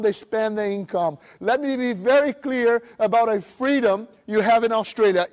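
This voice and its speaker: A middle-aged man from Brazil with a Brazilian Portuguese accent, giving an animated seminar talk and acting out other people's voices. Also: high-pitched. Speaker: motivational speaker. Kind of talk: live seminar presentation.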